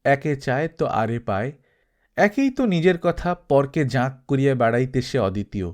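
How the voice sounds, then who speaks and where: A man reading literary fiction as an audiobook narrator, in a measured, formal and expressive way. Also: medium pitch. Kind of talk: scripted literary narration with verse recitation.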